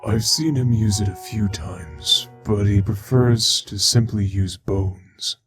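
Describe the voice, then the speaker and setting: A man playing a proud, sorrowful skeleton scientist and father, speaking in a measured, wistful way in a dramatic comic dub. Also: fairly low pitch, fairly bright tone, soft background music. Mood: reflective.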